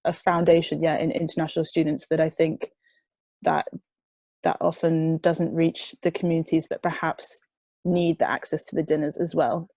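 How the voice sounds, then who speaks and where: Giggly young woman with a low, dry voice fielding questions on a call. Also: level tone, normal speed, darkish tone, no echo. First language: not Dutch. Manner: chatty, laughs often, hesitant. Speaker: presenter of a food waste charity. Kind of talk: online Q&A answering. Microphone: video call.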